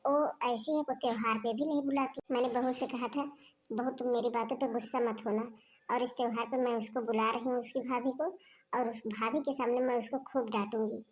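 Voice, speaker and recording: A woman voicing a scheming, sharp-tongued older mother-in-law in a homemade family skit, talking in a scolding, chatty way. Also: a little high, steady tone, fairly quick, slight background noise, close to an everyday voice. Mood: explaining. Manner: scolding, talkative.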